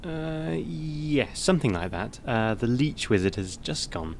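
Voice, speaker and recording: A man's voice: mid-range voice, big pitch swings, a little slow, a little hiss or hum. A man voicing a timid young librarian who hesitates and stammers in a radio play. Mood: awkward.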